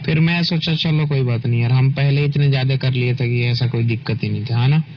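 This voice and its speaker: Guy on a casual private phone call, keeps checking for agreement, muffled lo-fi sound with clear noise. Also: mid-range voice, steady tone, a little fast. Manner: often ends remarks seeking agreement. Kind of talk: casual intimate phone chat. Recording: noisy, dull lo-fi phone call recording.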